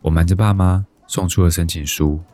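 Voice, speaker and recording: A man's voice: low voice, fairly even intonation, a little fast, mellow, no room sound, close to an everyday voice. An actor with a Taiwanese Mandarin accent playing a timid, despondent bank employee in his thirties, narrating his life introspectively in a radio drama. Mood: wistful, resigned. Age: 30s.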